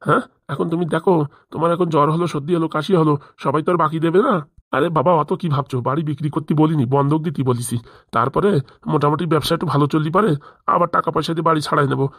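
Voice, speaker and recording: A man's voice: fairly high pitch, racing, fairly bright tone, dry recording, clean studio sound. A man voicing a pushy, scheming father-in-law in a comic cartoon, badgering with questions. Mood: persuading. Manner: badgering, nagging with rhetorical questions. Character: pushy, scheming father-in-law pressing his son-in-law for money.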